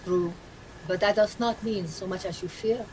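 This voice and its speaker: An actress playing a sensible, caring governess who speaks in a measured, formal way in a radio drama. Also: female voice, medium pitch, darkish tone, natural voice, poor audio. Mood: confiding, caring. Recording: clear noise and ambience behind.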